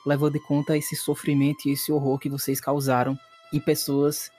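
A man's voice: on the high side, completely flat delivery, moderate pace, mellow. A man acts as game master, narrating a horror tabletop game. The delivery is dramatic, with faint music underneath his voice.

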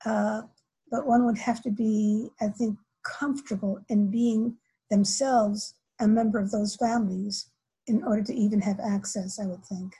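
An older woman scholar answering interview questions in a halting way, with many restarts and fillers. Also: medium pitch, fairly even intonation, warm tone, husky, poor audio. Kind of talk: academic interview.

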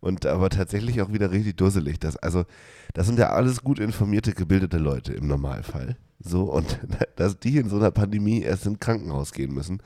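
A man co-hosting a casual podcast chat, sounding nasal and congested from a cold, chatty and quick to laugh. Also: low-pitched, animated, mellow, dry recording, clean studio sound. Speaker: podcast co-host.

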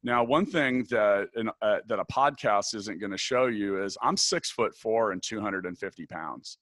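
A man with a big, deep voice answering interview questions thoughtfully, restarting his sentences often. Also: normal speed, a bit bright, dry recording. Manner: thoughtful, many restarts and fillers. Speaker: podcast guest, business founder.

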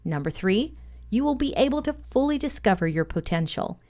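A woman presenting a scripted motivational podcast lesson, reading aloud in a measured, deliberate, instructional way. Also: mid-range voice, very animated, dark tone.

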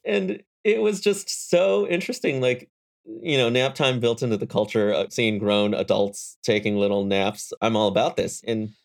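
A young adult man, a guest engineer, chatting in a relaxed interview and telling stories with frequent restarts and chuckles. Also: mid-range voice, moderate pace, gravelly. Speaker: guest, engineer and startup founder. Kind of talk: casual interview conversation.

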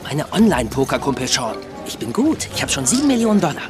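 An actor playing a cautious young sleuth's sidekick in dubbed comedy-crime TV dialogue, earnest and fussy, often exasperated and protesting. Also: male voice, medium pitch, big pitch swings, fast talker, loud music behind the voice, close to an everyday voice.

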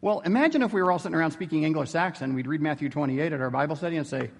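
Guy teaching theology, chatty, lots of "right?" checks, kinda high voice, big echoey hall. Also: very fast, gravelly. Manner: conversational, asks rhetorical questions. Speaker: theology lecturer. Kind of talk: informal classroom lecture.

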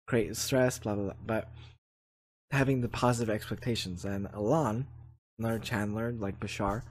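A man's voice: mid-range voice, darkish tone, breathy edge. A man hosting his own channel, talking through self-help ideas in a casual, earnest way with many restarts. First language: Polish is not his first language. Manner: earnest, reflective, many restarts and "you know". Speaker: channel host. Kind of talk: casual spiritual vlog talk.

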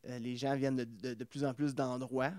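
A man's voice: mid-range voice, little pitch movement, fairly quick, a little mellow, no room sound. A man hosting a podcast, asking long rambling questions full of stumbles and fillers. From Ontario. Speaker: podcast host. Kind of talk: casual interview.

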